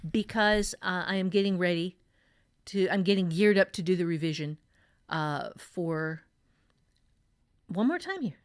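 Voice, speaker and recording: A woman's voice: lowish voice, lively intonation, measured pace, pauses often, clear, resonant tone, dry recording, clean studio sound. A woman hosting a casual talk on writing, giving advice earnestly and thinking aloud with emphatic repetition. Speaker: podcast host and novelist.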